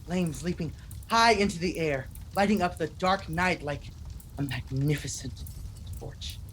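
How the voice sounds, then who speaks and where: A man's voice: fairly high pitch, measured pace, a bit bright, a little hiss or hum. An actor playing a desperate, obsessed husband who narrates his horror tale in an intense, melodramatic 1940s radio style. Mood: awed, fevered.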